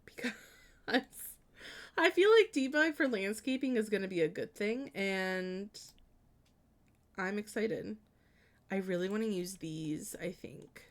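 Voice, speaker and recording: A woman streamer chatting casually with viewers as she plans out a house, thinking aloud in a hesitant, meandering way with many trailing restarts. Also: mid-range voice. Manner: chatty and friendly when greeting and answering viewers. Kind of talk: casual live-stream chat while building in a life-sim game.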